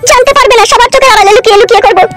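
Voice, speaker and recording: A woman's voice: very high voice, rapid-fire, loud music behind the voice. A woman voicing a lovesick, pleading, insistent young woman in an amateur comedy cartoon.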